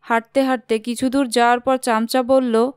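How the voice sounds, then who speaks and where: A woman's voice: fairly high pitch, level tone, normal speed, a little mellow, smooth, no echo, clean studio sound. A woman narrating a scripted children's story.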